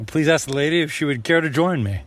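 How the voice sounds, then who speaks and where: An actor playing a giddy, big-spending man on a reckless spree in a radio drama, stammering and excitable. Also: a little high, fast, noisy background, lightly acted. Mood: eager, bashful. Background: music.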